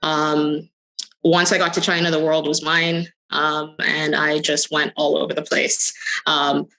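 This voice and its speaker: A middle-aged woman answering interview questions conversationally, telling her story in short phrases with hesitant pauses. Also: low-pitched, little pitch movement, pushed and bright, a little hiss or hum, low-quality recording. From the Bahamas. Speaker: interview guest, crypto company executive.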